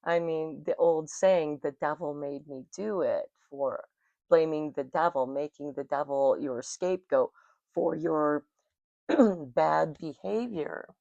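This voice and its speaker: An American woman giving an intuitive tarot reading in a calm spiritual manner, her throat rough and scratchy from smoke. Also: deep voice, dry recording, lo-fi sound. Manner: slow, soothing, spiritual. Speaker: tarot reader.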